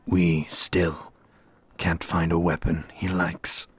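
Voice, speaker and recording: Guy doing a broody immortal warrior for asmr roleplay, super breathy husky low voice, slow and formal. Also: flat delivery, no room sound, full character voice. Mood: calm, tender.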